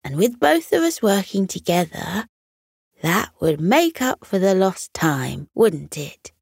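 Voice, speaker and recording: A woman's voice: medium pitch, sing-song, slow pace, gravelly, a little mellow, breathy edge, no room sound, clean studio sound, exaggerated voice. A woman voicing a kind, earnest male fox who makes tentative, coaxing offers in a children's bedtime story. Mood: tentative, helpful. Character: eager, kind male fox with a big idea.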